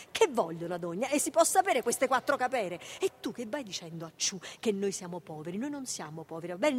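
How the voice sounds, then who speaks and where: A woman's voice: fairly high pitch, slight background noise. A woman with a Neapolitan accent playing a poor, proud, anxious mother in a naturalistic radio drama, agitated and repeating herself in colloquial speech. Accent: southern Italian, Neapolitan.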